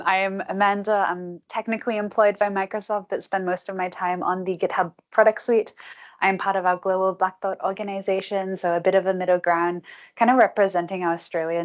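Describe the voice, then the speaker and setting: A young woman giving a tech talk to a meetup audience, brisk and chatty with frequent "um" and "like". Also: medium pitch, fairly even intonation. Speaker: guest speaker, technical presenter. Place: meetup room with a live audience.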